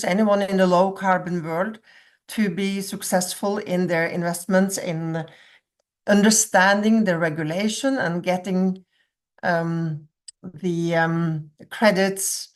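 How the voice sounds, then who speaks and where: A woman with a Norwegian accent introduces herself on an online panel. She talks in a friendly way but pauses and restarts now and then while she finds her words. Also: fairly low pitch. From Norway. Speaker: sustainability consultant. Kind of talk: self-introduction on a webinar. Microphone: video call.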